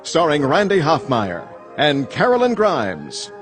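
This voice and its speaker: A man announcing and narrating an old-style radio drama in a formal, measured way. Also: fairly high pitch, wildly swooping pitch, over music. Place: theater stage.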